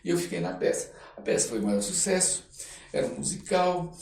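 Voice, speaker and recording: A man's voice: fairly high pitch, gravelly. A Brazilian man from the Amazon telling anecdotes casually as an interview guest, acting out dialogue and often tagging phrases with "né". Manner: animated, acts out dialogue, halting with "né" tags. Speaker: guest artist, actor telling life story. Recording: roomy echo, distant mic, faint noise.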